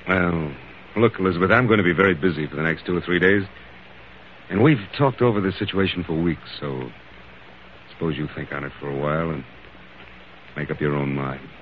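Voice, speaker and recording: A cautious gentleman lover played by a man in a vintage radio play, with an extremely low voice. He soothes and gently sidesteps, heard through a thin, band-limited old broadcast. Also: brisk, pauses often, natural voice. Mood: soothing, evasive. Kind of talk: old-time radio drama acting. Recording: tinny, noisy old radio recording.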